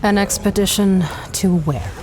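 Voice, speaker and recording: A woman's voice: mid-range voice, animated, a little slow, noisy background, natural voice. A woman playing a tough-talking, wry 1930s mercenary adventuress in an audio drama. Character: hard-bitten, sardonic 1930s adventuress mercenary for hire. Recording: music behind the voice.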